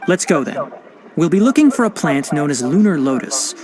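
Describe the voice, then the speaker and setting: A man voicing a calm, learned young male forest ranger and botanist in a video game, explaining things in a patient, precise, lecturing way. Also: a little high, normal speed, over a noisy crowd. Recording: loud game soundtrack music behind.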